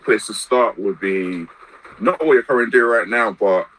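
A man's voice: fairly low pitch, moderate pace, a bit bright, rough recording. A man, hosting a podcast and interviewing a guest with enthusiastic praise and plenty of fillers. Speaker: podcast host.

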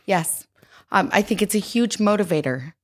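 A woman's voice: medium pitch, expressive, moderate pace. A woman, a psychotherapist and author, answering interview questions in a thoughtful, articulate way. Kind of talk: casual interview.

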